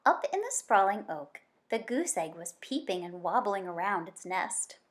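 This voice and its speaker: A woman reading a children's book aloud as an expressive story narrator. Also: medium pitch, moderate pace. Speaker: story reader.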